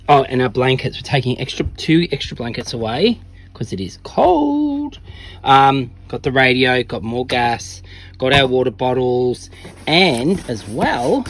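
A man's voice: mid-range voice, slight background noise. A man in his thirties with an Australian accent, chatting casually as he films himself in a camper van with a phone held at arm's length. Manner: chatty, upbeat, with soft asides to his dog. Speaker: vlogger. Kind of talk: casual vlog narration. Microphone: handheld phone at arm's length.

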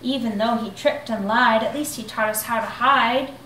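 A woman in her thirties reading a children's rhyming picture book aloud in an expressive, rhythmic way, seated in a living room. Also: a little high, normal speed, a little hiss or hum. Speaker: storybook reader. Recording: echoey room, mic at a distance.

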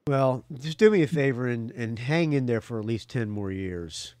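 A man's voice: medium pitch, expressive, moderate pace, a little mellow, dry recording, clean studio sound. A man with a faded Southern US accent, chatting casually as the host of a rock podcast. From Jacksonville, Florida, US. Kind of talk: casual phone chat on a rock music podcast.

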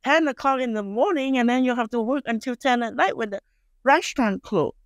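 Malaysian Chinese-accented woman, halting, dry studio sound. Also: on the high side, no echo. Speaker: guest chef and culinary instructor. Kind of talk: casual podcast interview. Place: small podcast studio. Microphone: close studio microphone on boom arm.

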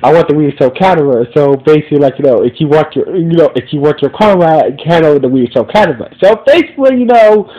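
A man's voice: on the high side, lively intonation, quick pace, hardly any pauses, warm tone, dull audio. A man with a stuffy nose hosting a podcast, recapping a TV episode in a rambling, repetitive way with many restarts. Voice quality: nasal. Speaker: reviewer and podcast host. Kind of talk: casual spoken episode recap.

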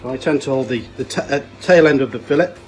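A man's voice: mid-range voice, fast talker, soft background music. A middle-aged man, a chef, giving casual, plain-spoken step-by-step cooking instructions while busy at the stove in a home kitchen. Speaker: chef demonstrating a recipe. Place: small home kitchen, indoors. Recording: loud sizzling pan and kitchen noise. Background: frying, sizzling, stirring.

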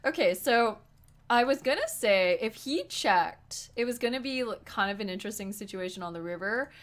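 A young woman in her twenties talks to her stream chat while playing online poker. She is excitable and giggly, and her voice is going hoarse. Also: mid-range voice, moderate pace. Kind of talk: live-stream commentary to chat. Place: small room indoors at a desk.

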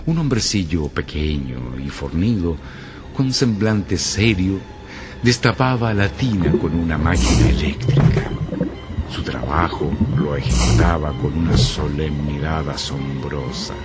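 A man's voice: a bass voice, expressive, measured pace. A man narrating a radio horror mystery tale as a grave storyteller. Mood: grave, suspenseful. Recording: music behind the voice.

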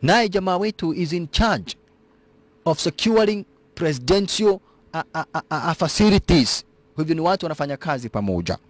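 A man talks fast over a movie, retelling the plot with quick, playful commentary. His voice is fairly high and swings widely in pitch. He drops English phrases into his slangy retelling. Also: no room sound. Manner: lively, jokey, mixes Swahili slang with English. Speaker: movie narrator.

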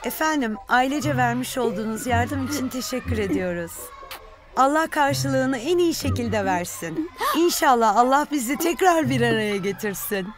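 A woman's voice: fairly high pitch, animated, moderate pace, close to an everyday voice. A woman playing a grateful, courteous orphanage woman in a dubbed children's cartoon, polite and formal. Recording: background music under the voice.

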